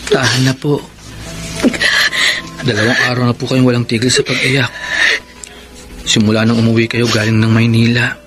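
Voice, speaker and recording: An actor playing a devoted, respectful young son in a Filipino radio drama, earnest and consoling, with a Filipino accent. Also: male voice, medium pitch, close to an everyday voice. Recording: faint music under the voice.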